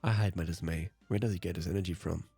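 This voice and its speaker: A man's voice: deep voice, steady tone, fast, gravelly, mellow, husky, soft background music. A man whose native language is German, hosting a let's play and reading visual novel narration aloud.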